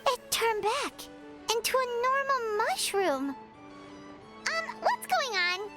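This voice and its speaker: A woman voicing a tiny, chatty, blunt companion creature in a video game, squeaky and childlike. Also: sing-song, slow, music in the background, exaggerated voice. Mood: alarmed. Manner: talks of self in third person. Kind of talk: animated video game acting.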